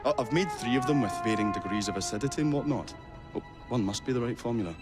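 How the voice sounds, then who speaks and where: A man's voice: mid-range voice, fast, soft background music, lightly acted. An actor playing a nervous, eccentric young male inventor who hesitates with many ums and uhs, in a game cutscene. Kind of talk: video game cutscene acting.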